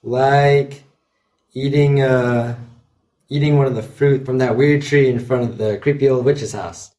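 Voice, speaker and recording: A man's voice: mid-range voice, steady tone, a little slow, mellow, lightly acted. A man playing a goading, teasing boy among friends in an amateur audio drama.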